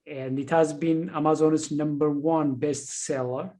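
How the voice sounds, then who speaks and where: An Ethiopian-accented man answers interview questions in an earnest, deliberate way, pausing on fillers as he goes. His voice sits a little higher than most men's. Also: fairly even intonation, dark tone. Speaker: guest, leadership coach and author.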